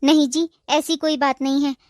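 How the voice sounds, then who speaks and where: A woman's voice: sits high, little pitch movement, normal speed, faint music behind, lightly acted. A woman playing a patient, devoted young wife in a cartoon moral story, speaking in a reassuring, respectful way. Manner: soft-hearted, reassuring, respectful.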